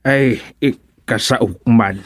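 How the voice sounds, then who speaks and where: A man's voice: medium pitch, drawn-out words, dry recording, clean studio sound. A man with an Ilocano accent playing a concerned, hesitant village visitor in a radio drama. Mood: lamenting. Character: slow-talking concerned villager visiting the ailing village chairman.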